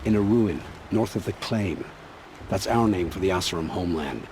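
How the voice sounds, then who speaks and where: An actor playing a gruff, evasive ruin scavenger and scout in a video game, brusque and defensive. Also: male voice, low-pitched, expressive, normal speed, a little mellow. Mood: guarded. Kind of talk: acted video game dialogue. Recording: clearly noisy, ambient background.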